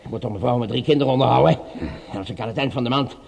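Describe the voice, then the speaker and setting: An actor playing a nervous, whining underling with a family to feed, defensive and pleading, in a radio drama. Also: male voice, medium pitch, very fast, gravelly, darkish tone, lightly acted. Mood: pleading, evasive. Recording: reverberant radio play, faint noise.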